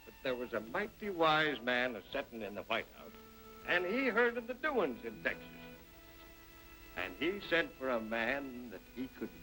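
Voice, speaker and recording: An actor playing a folksy American storyteller in an old western drama, with a rustic way of talking. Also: male voice, mid-range voice, very animated, normal speed, pushed and bright, soft background music, rough recording. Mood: earnest storytelling.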